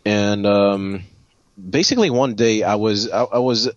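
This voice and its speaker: Low-voiced man telling stories haltingly. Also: no room sound, dull audio. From Haiti. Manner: halting, with frequent repeated words. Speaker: guest photographer and designer. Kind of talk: casual personal storytelling.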